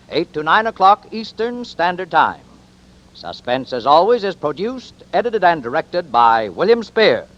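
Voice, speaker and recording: A man with a high, very lively voice makes formal, emphatic announcements. His pitch rises and falls widely. The sound is a dull, lo-fi 1940s radio broadcast.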